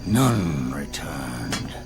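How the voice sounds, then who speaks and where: Eccentric alien archaeologist, gravelly and theatrical. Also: male voice, extremely low pitch, drawn-out words, music in the background, full character voice. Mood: ominous, haunted. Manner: theatrical, swinging from musing murmurs to excited exclamations. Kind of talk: comic science fiction radio play acting.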